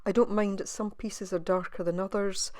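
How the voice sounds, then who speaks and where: Soft-spoken woman, dry close mic. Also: medium pitch, steady tone, no echo. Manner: soft, musing, thinking aloud with pauses. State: slightly croaky voice. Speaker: craft video maker. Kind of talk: relaxed crafting voice-over.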